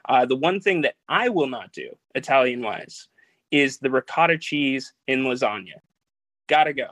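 A man's voice: medium pitch, lively intonation, normal speed, fairly bright tone, no echo, low-quality recording. Enthusiastic man hosting a chat. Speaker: host. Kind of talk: casual chat.

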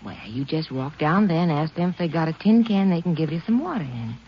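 An actress playing a poor, plainspoken mother in a 1950s radio drama, patient and gently firm. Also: deep voice, animated, brisk. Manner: homespun, patient, folksy phrasing. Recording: muffled, slightly noisy old radio transcription.

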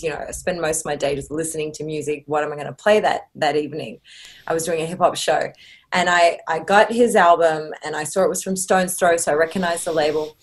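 Laughing woman telling stories. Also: lowish voice. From Australia. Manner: laughs often, lively storytelling with self-deprecating asides. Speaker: guest. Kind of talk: casual podcast interview.

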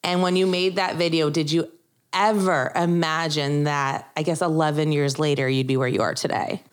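A young woman co-host with a fairly low voice, chatty and quick to chime in. She talks in an easygoing, conversational way. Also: steady tone, smooth. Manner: chatty, quick to agree and laugh. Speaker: podcast co-host. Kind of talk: casual interview chat.

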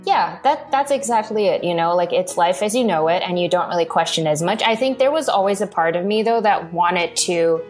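Young woman guest, lowish pitch, halting casual storyteller, faint music underneath. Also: a little mellow. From Hong Kong. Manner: rambling, many fillers and restarts. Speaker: interview guest, video producer. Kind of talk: casual interview talk.

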